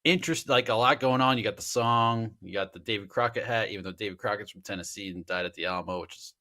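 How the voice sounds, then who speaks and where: A man's voice: on the low side, quick pace, bright, forceful tone. A man co-hosting a sports betting show, chatting casually with wry, joking asides. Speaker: podcast co-host.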